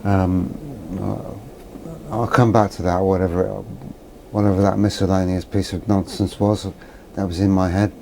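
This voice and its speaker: A man's voice: low-pitched, level tone, moderate pace, warm tone, a little hiss or hum, poor audio. An older British man giving rambling, unscripted commentary in a vlog, with a dry, grumbling, sardonic manner. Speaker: presenter of a vintage radio video.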